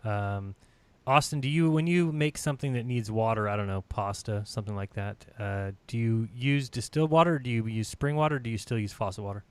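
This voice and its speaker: A man's voice: mid-range voice, fairly quick, smooth, dry recording. Chatty man hosting a live panel. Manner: upbeat, chatty, laughs often. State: feels like losing his voice. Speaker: host. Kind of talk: live online panel chat.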